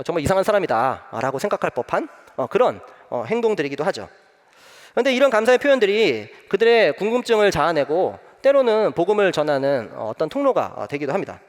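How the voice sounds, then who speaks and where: A young man preaching a sermon as pastor, telling a personal story with many pauses and filler sounds. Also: fairly high pitch, big-room sound. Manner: gentle, frequent "eo" fillers, warm storytelling.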